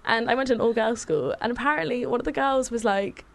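A woman's voice: fairly high pitch, fast talker. A young woman with an English accent, chatting casually as a musician guest, with lots of fillers and laughs. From England. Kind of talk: casual chat.

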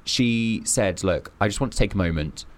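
A man's voice: lowish voice, moderate pace. A man co-hosting a casual podcast chat, making his case emphatically and at length. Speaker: podcast co-host.

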